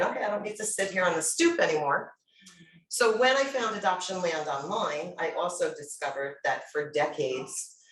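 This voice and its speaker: A woman's voice: sits low, a bit bright, distant mic. A middle-aged woman from New York introducing herself as a workshop presenter, telling her story candidly and bluntly. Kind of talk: personal introduction at a conference panel. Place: conference room. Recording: echoey room, voice from afar.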